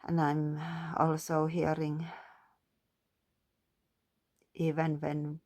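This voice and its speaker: A woman doing a calm, musing spiritual card reading, partly reading guidebook text aloud. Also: low-pitched, drawn-out words. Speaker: tarot and oracle card reader.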